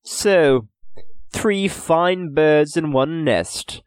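A man's voice: on the high side, clean tone, no room sound, voice pushed up. A man playing a smug pompous merchant. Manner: smirking, leering, drawn-out. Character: smug pompous English merchant, middle-aged.

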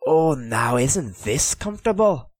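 A man's voice: mid-range voice, sing-song, measured pace, breathy edge, no echo, studio quality, pitched above the performer's own voice. A man reading aloud voices a cheeky young lad who jokes and clowns around. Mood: joking. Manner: cheeky, mock-dramatic. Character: young joking lad, lanky and playful.

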